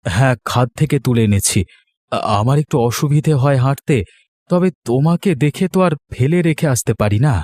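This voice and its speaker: A man playing a kind, modest young villager in a cartoon, talking in a gentle, hesitant, reassuring way. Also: mid-range voice, lively intonation, normal speed, no echo, lightly acted. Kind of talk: cartoon voice acting.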